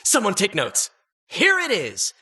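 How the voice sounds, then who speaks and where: A man's voice: sits high, huge pitch swings, measured pace, bright, forceful tone, full character voice. A man playing a boastful, theatrical villain showman in a video game, gloating exuberantly over his deadly lair. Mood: gleeful boasting. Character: gleeful hammy villain showman, proud of his deadly grotto.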